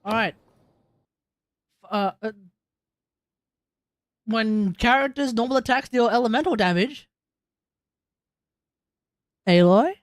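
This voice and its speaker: A woman's voice: fairly high pitch, takes it slowly, pauses often, no echo. A woman giving casual live reactions as she streams a video game, with noise and clicking behind her. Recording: clear background noise, clicks.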